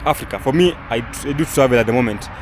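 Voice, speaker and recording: A man's voice: medium pitch, fast talker. A young man, a travel vlogger, giving casual commentary outdoors, rambling with many fillers and restarts. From Kenya. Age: young adult. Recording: outdoor recording with traffic noise.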